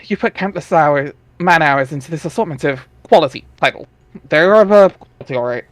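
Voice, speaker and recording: A man's voice: fairly high pitch, expressive, brisk, no echo. A man narrating a webcomic dramatic reading in a wry, informal way, with casual asides.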